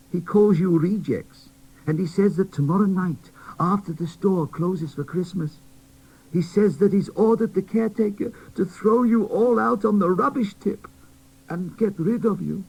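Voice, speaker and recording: A man voicing a kindly old toymaker, soft, gentle and sorrowful. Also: sits high, moderate pace, vocal fry, slightly rough, voice pushed up, heavily acted, rough recording.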